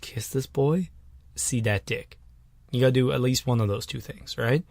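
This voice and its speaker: A man's voice: mid-range voice, moderate pace, no room sound. Young man, co-host, profane jokey chatter, dead dry closet sound. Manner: profane, joking, animated riffing. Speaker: podcast co-host. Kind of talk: casual comedic chat. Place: small closet.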